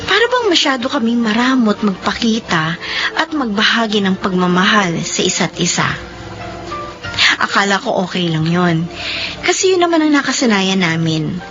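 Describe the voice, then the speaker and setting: A woman's voice: mid-range voice, sing-song, normal speed, natural voice. An actress in her thirties playing an emotional, tearful daughter and office officer who also narrates, in a radio drama. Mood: reflective. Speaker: lead character and narrator. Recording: dull lo-fi radio recording with music.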